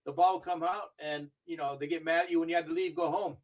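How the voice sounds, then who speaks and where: Older man, higher voice, chatty storyteller, grew up in Argentina, sits back from the mic. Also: fast talker, far from the mic, a little hiss or hum, rough recording. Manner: chatty storytelling, animated when recalling matches. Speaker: interview guest, video review manager. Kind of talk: casual podcast interview.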